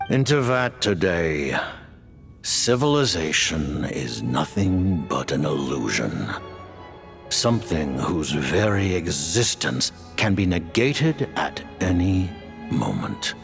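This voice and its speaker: Deep gravelly formal commander, slow grave delivery, music under it. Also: male voice, sing-song, full character voice. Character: stern, formal highest-ranking male commander. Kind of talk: video game voice acting. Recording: game audio with music.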